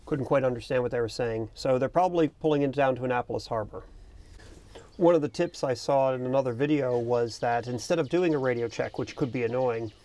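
A man's voice: medium pitch, fairly quick, dark tone, a little hiss or hum. A middle-aged man talking casually to camera as a boating video host, explaining things in an unscripted, conversational way. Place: outdoors in the cockpit of a small parked boat.

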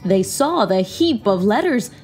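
A woman in her thirties narrating a children's picture book aloud in a theatrical, deliberate, expressive style. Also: mid-range voice. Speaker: children's read-aloud host. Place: small indoor room. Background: music.

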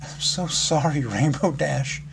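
A man voicing a timid, sorrowful young female pegasus pony in a story, soft, shaky, hesitant and apologetic. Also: a little high, expressive, unhurried. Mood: tearful, apologetic. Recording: clearly noisy background.

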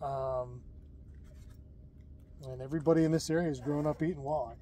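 Relaxed outdoorsy man with a mellow voice. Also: on the high side, moderate pace. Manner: relaxed, rambling with fillers and restarts. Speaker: fishing show host. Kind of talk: casual outdoor chat and demonstration. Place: lakeside outdoors.

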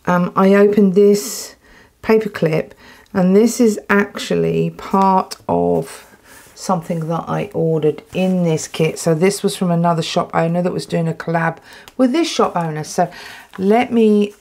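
A woman with an English accent, casually chatting while unboxing a stationery haul at a tabletop, excitable and full of ums and restarts, her throat dry. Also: fairly low pitch, a little slow, creaky. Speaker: planner vlogger. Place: indoors at a tabletop.

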